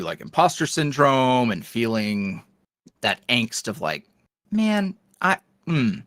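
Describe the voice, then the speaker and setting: A man's voice: medium pitch, fairly bright tone, dry recording, studio quality. A young man talking casually as a podcast guest, rambling with lots of fillers and restarts. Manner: rambling, filler-heavy, self-reflective. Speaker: podcast guest, roleplay creator. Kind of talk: casual interview chat.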